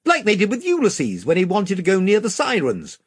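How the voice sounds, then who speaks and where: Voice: mid-range voice, wildly swooping pitch, brisk, breathy edge, no echo, studio quality, voice pushed up, full character voice. A reader voicing a blunt, earnest schoolboy who talks plainly and sternly.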